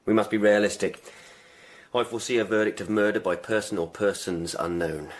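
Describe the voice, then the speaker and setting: An actor playing a stern, secretive royal coroner with an English accent, speaking with formal authority in a radio drama. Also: male voice, on the low side, a little fast, slight background noise, close to an everyday voice. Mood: grave, official.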